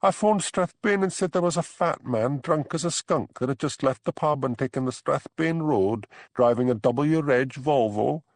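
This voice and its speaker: A man voicing a gossipy Scottish village man who tells tales in an animated way, in an audiobook. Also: mid-range voice, normal speed, hardly any pauses, no room sound, clean studio sound, voice pushed up, natural voice. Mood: gleeful gossip. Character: Archie, gossipy village man, fond of a dram. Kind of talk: audiobook character dialogue.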